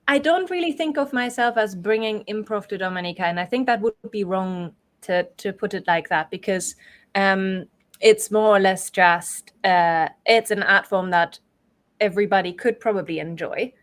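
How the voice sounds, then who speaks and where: A woman from Europe speaking as an interview guest, explaining things conversationally in a thoughtful, qualified way. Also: medium pitch. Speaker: guest, improv theatre performer and organiser.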